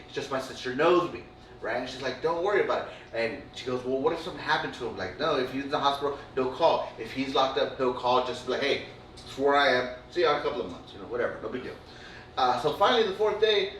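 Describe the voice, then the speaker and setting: A man's voice: medium pitch, a bit bright. A man telling long rambling stories in casual chat, full of fillers and swearing. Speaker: podcast co-host. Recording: roomy, distant mic, faint room noise.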